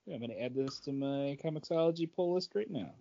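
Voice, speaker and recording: A man's voice: mid-range voice, lively intonation, quick pace, vocal fry, warm tone. Male podcast co-host, mid-range voice, casual conversational delivery, slightly lo-fi audio. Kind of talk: casual comic book chat.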